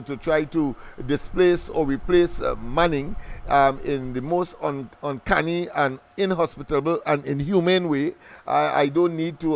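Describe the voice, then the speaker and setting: A man hosting a political talk radio show, speaking in formal phrasing broken by many ums and restarts. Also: fairly high pitch. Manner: halting, full of ums and restarts, formal wording.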